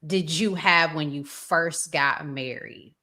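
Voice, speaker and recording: A woman's voice: low voice, slow, pushed and bright. A woman hosting a podcast, talking casually and putting questions to her guest. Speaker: podcast host interviewing a guest. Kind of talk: casual conversational interviewing.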